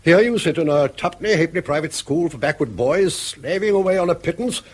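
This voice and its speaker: Husky, cantankerous old English grandfather. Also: on the high side, expressive, a little fast. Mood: sardonic. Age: older. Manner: blunt, sardonic, needling. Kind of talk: British radio drama acting.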